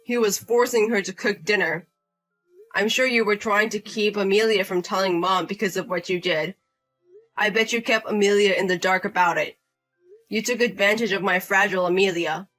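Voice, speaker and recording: A woman speaks as a stern husband standing up for his wife, indignant and confronting. The voice is bright and stays at an everyday pitch, with a firm, direct delivery in a drama story. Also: steady tone, normal speed, faint music behind, natural voice, rough recording. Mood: angry. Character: protective angry husband, younger brother.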